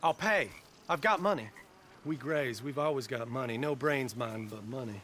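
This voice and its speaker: A man's voice: mid-range voice, moderate pace, fairly bright tone, a little hiss or hum, close to an everyday voice. An actor playing a nervous, earnest, lovestruck young man, rambling through his confessions.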